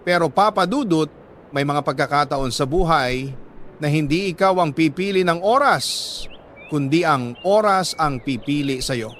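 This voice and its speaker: A man with a Filipino accent reading a dramatic love story as scripted narration, deliberate and sentimental, with dramatic pauses. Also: a little high, a bit bright, a little hiss or hum. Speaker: storyteller reading a listener's letter.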